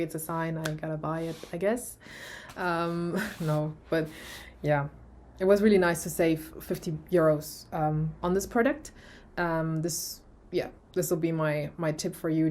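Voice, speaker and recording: German woman, mellow voice, chatty review. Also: on the low side. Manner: chatty, many fillers and restarts, laughs often. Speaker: vlogger. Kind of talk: casual product review.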